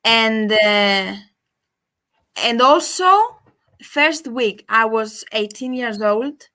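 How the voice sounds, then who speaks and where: A young woman from Cyprus, Greek her first language, speaking English in a bright, fairly high voice. She talks casually on a call, stopping and restarting often and filling gaps with "uh". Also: extremely slow. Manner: hesitant, with many restarts and fillers. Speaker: caller.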